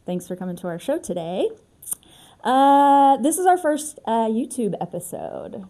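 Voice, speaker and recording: A woman's voice: on the high side, very animated, a little slow, mellow. A woman from the United States with an American accent, co-hosting a show in casual chat with a friend. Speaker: co-host.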